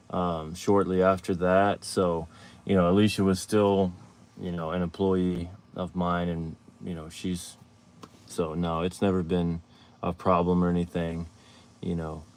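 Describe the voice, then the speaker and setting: Casual low-voiced man in his thirties. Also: a little mellow. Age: 30s. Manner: rambling, restarts often, reads viewer questions aloud. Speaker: livestream host. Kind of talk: casual livestream chat.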